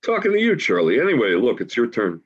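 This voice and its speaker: A man's voice: medium pitch, wildly swooping pitch, fast, breathy edge. An actor playing an upbeat homeless man who welcomes his death, talking colloquially and emphatically in a staged play reading. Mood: cajoling. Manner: colloquial, emphatic, matter-of-fact about death. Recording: dull, lo-fi video call audio.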